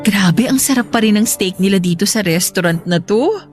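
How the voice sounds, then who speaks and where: A woman's voice: medium pitch, moderate pace, close to an everyday voice. Woman acting a practical girlfriend in romantic drama. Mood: fond, chatty. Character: contented adult girlfriend, practical, unconvinced about marriage. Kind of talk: Filipino audio love-drama acting. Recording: music bed behind the voice.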